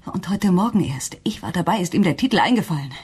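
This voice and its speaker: Marlies, a breathy, distraught wife. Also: female voice, fairly low pitch, expressive, darkish tone. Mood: accusing. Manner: halting, intense, often dropping to a whisper. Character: distraught wife Marlies, torn and quietly accusing. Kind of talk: German radio crime drama acting.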